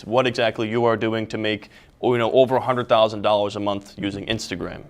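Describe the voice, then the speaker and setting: A man's voice: on the low side, steady tone, fairly quick. A man interviewing, mostly with short, eager reactions. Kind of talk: casual interview.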